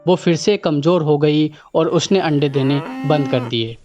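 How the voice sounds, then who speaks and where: A man narrates a storybook tale in a scripted way. His voice sits a little high, and music plays clearly underneath. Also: unhurried, darkish tone, muffled recording.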